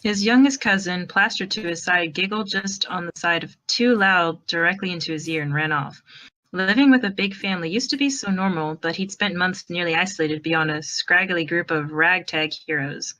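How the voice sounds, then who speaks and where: A woman's voice: on the low side, moderate pace, sharp tone. A woman narrating fan fiction as a storyteller, in a conversational, wry way.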